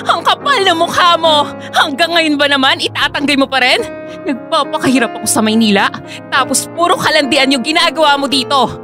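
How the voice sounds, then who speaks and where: A wronged woman who supports her household. Her voice is high and she speaks with confrontational, demanding emphasis. It is melodramatic radio acting. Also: lively intonation, fairly quick, music in the background. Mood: furious. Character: angry wronged live-in partner and breadwinner older sister. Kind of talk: Filipino radio drama acting.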